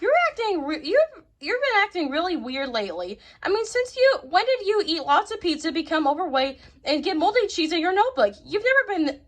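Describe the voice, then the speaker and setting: A woman's voice: very high-pitched, big pitch swings, fairly quick. A woman voicing a nosy, rambling schoolgirl who quizzes people in a children's story. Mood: suspicious. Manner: inquisitive, rambling. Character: nosy, chatty schoolgirl classmate questioning her friend.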